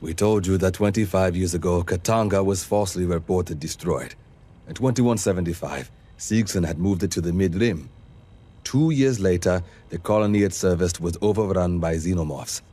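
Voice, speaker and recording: An actor playing a composed, formal intelligence informant in a video game, briefing in a measured, detached way. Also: male voice, sits low, mellow. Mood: detached, informative.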